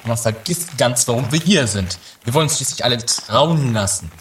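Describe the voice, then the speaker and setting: An actor playing a dramatic, bossy, quarrelsome schemer in a trashy comedy radio play, exclaiming and repeating words excitedly. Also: mid-range voice, moderate pace, noisy background, lightly acted. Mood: nagging. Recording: 1980s amateur radio play with music behind.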